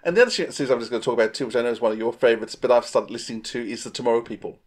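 A middle-aged man with a medium-pitched voice chats as a podcast co-host. He reviews things with enthusiasm and emphasis, in an informal way, over a video call. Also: very fast. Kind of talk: casual chat, enthusiastic reviewing. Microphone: earphones on a video call.

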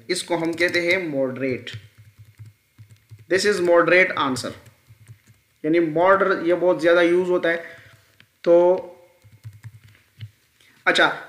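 A man's voice: high voice, bright, forceful tone. A man teaching a grammar lesson in an explanatory style, repeating key terms and often checking in with the listener. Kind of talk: explanatory screen-recorded lesson. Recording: echoey room sound.

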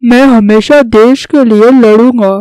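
An earnest young would-be soldier from a cartoon story , voiced by a woman. The voice sits fairly high and sincere, declaring devotion plainly in a very dry recording. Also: steady tone, unhurried, warm tone, clear, resonant tone, no room sound, natural voice, rough recording. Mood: earnest, resolute. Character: earnest, patriotic young man becoming a soldier. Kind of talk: scripted Hindi cartoon story dialogue.

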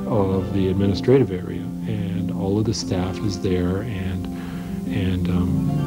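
A man, a healthcare practitioner, giving relaxed, halting explanations full of fillers in a documentary interview. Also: low-pitched, gravelly. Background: music.

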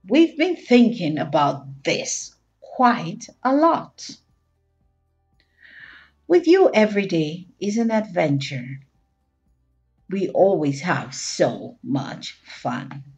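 Woman playing a young child, picture-book character, suspicious, wondering, dramatic, very animated, slow-paced, music behind. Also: lowish voice, lo-fi sound, full character voice. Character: curious, suspicious young child questioning parents.

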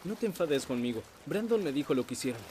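A man's voice: on the high side, very animated, fast talker, noisy background. An actor dubbing an awkward teenage boy who pleads and stammers nervously. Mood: pleading. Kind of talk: Spanish film dub.

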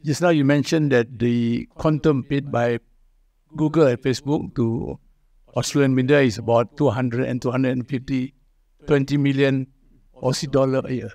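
Malaysian man with a mid-pitched voice. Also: steady tone, normal speed, a little mellow. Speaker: audience questioner. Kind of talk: conference question.

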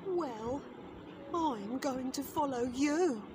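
High-voiced lovesick cartoon girl. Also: animated, very slow, noisy background, full character voice. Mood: forlorn, determined. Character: lovesick, forlorn young woman in a cartoon. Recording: music under the voice.

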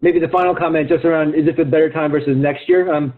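A man speaking as an investment executive on a client call, explaining in a halting way with many fillers and repeated words. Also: a little high, steady tone. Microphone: phone or conference call line. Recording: thin, phone-like conference call line.

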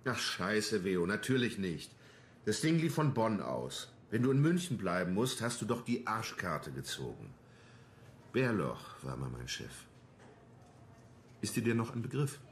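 Blunt, animated police detective. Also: male voice, lowish voice, a little slow. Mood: bitter, agitated. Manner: blunt, coarse, colloquial. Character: gruff, combative, embittered male police detective. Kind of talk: German radio crime drama acting. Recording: roomy sound with faint noise.

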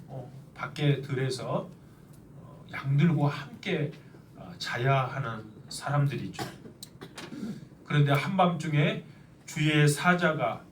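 A middle-aged man preaching, his slightly high voice a little nasal from a cold. He speaks earnestly, stretching key phrases slowly for weight. The sound is roomy and set back from the mic in a church, with faint background noise. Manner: earnest, with slow emphatic stretches. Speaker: pastor. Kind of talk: sermon. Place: church sanctuary. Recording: echoey church, mic at a distance.

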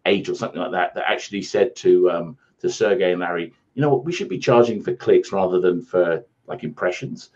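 Deep-voiced British man on a call. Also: fairly quick, hardly any pauses, a little mellow. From England. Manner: rambling, self-deprecating, many restarts. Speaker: guest, ad agency founder. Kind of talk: casual interview storytelling. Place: home office, indoors. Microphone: earphone headset on video call. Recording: muffled lo-fi video call.

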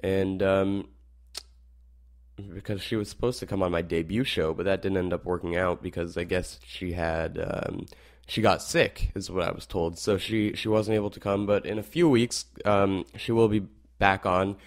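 Hoarse teen boy radio host. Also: sits low, steady tone, moderate pace. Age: teens. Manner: rambling with many fillers. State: slightly hoarse. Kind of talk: casual show wrap-up.

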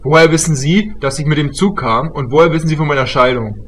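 A man playing a dry, reserved, sceptical psychologist in an amateur comedy audio drama, speaking tersely and deadpan. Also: fairly high pitch, fast talker, natural voice. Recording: faint background noise.

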